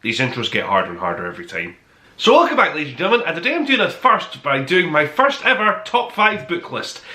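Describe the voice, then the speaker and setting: Animated, bright-voiced man hosting a podcast. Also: fairly high pitch, fast, reverberant. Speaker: podcast host and book reviewer. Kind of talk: conversational top-five review monologue to listeners.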